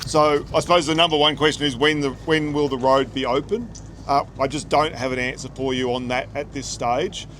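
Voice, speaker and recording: An Australian man with an ordinary mid-range voice speaks in a plain, measured way as he explains at an outdoor briefing. Clear vehicle and outdoor noise surrounds him. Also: a little high, steady tone. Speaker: police inspector. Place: outdoors, near firefighting operations. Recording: outdoor recording with loud background noise.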